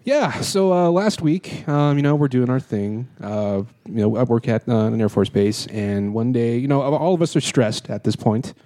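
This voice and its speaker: Rambling man with a mellow voice. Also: mid-range voice, lively intonation, moderate pace, hardly any pauses, dry recording. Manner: rambling, many fillers and restarts. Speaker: podcast host. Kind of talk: casual podcast chat.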